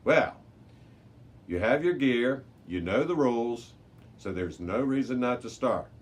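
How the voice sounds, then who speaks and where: A man voicing a commanding male race announcer in a children's storybook, showy and deliberate as he rallies young contestants. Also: mid-range voice, expressive, slightly rough, pitched above the performer's own voice. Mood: rallying, commanding. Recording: noticeable background noise.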